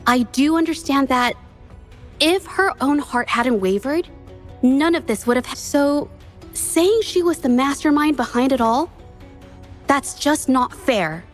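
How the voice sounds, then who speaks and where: An earnest young painter. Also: female voice, high-pitched, animated, unhurried, faint music behind. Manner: earnest, hesitant at first, then resolute. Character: earnest young female painter standing firm. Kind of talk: video game voice acting.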